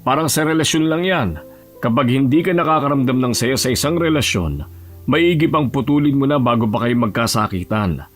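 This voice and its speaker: A man voicing a gentle, regretful old grandfather who talks in a plain, fatherly way in an audio drama. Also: medium pitch, lively intonation, a little fast, faint music behind, close to an everyday voice. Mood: regretful, reflective. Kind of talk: Tagalog audio drama acting.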